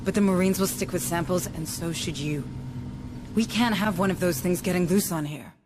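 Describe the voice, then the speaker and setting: A woman's voice: fairly low pitch, moderate pace, very noisy, natural voice. An actress playing a firm, cautious woman in command aboard a ship in a game cutscene. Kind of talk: scripted game cutscene acting.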